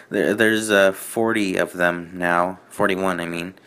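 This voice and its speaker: Male host, lower register, casual mocking commentary on amateur writing, halting and sardonic. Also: flat delivery, a bit bright. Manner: halting, repeats himself, sardonic. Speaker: host reading fan fiction aloud.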